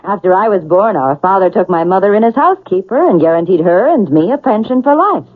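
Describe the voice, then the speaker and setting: A woman's voice: mid-range voice, animated, quick pace, lightly acted. An actress in a radio drama playing a cold, scheming, sardonic woman with a theatrical, calculating manner. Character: cold scheming housekeeper's daughter plotting murder, sardonic woman. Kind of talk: 1970s radio drama acting. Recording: muffled, lo-fi old radio broadcast.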